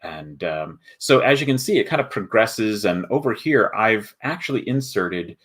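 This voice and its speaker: A man with a fairly low voice hosts a casual online walkthrough. He explains step by step in a thoughtful, halting way, like someone talking through his own work. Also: animated, a bit bright. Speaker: host, board game video maker.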